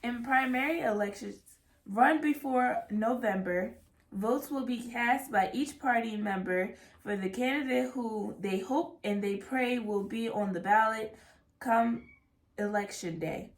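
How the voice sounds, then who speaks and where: A woman reading a rhyming children's picture book aloud in a measured, careful way. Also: fairly high pitch. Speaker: read-aloud reader. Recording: echoey room.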